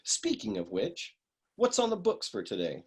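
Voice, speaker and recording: A world-weary private eye played by a man in a comic noir radio play. His voice is husky and slightly high for a man, with very animated intonation. He grumbles and cracks crude, cynical jokes. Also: normal speed, full character voice. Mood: deadpan grumbling.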